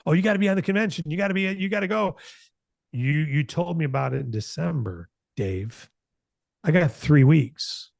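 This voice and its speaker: A man's voice: a little high, expressive, moderate pace, creaky, darkish tone, dry recording. An American man in his late fifties giving an informal motivational business talk, earnest and conversational, repeating phrases for emphasis. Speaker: company founder hosting a broker announcement meeting.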